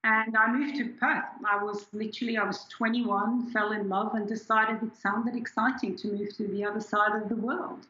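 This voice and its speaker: A woman's voice: mid-range voice, flat delivery, normal speed, hardly any pauses, pushed and bright, roomy sound, muffled recording. A woman in her forties from Switzerland, reflectively telling her story as an interview guest with many restarts. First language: German. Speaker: scientist guest. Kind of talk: candid personal interview.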